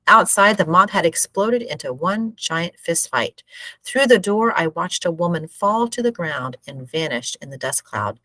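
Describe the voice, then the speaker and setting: A low-voiced woman reads a story aloud in a measured, expressive narrating style. The sound is close and dry, with very little room around it. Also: dry recording, poor audio. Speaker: teacher reading a book aloud.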